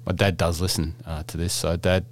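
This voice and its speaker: Middle-aged male podcast host, low voice, rambling and jokey, loose casual delivery. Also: level tone, brisk. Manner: chatty, rambling, jokey, many fillers and restarts.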